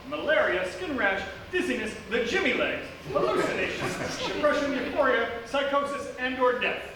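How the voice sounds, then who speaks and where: Male announcer for live radio parody: showy, swooping delivery, echoey theatre, clear background noise. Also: extremely high pitch. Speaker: announcer and host. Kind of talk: mock old-time radio announcing. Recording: echoey theatre, distant mic, noisy.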